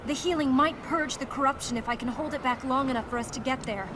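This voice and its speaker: Fantasy game heroine, female actor: earnest, resolute, somewhat high voice, purposeful delivery, heavy background noise. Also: quick pace, close to an everyday voice. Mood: urgent, concerned. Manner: serious, purposeful, explanatory. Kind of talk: video game dialogue acting.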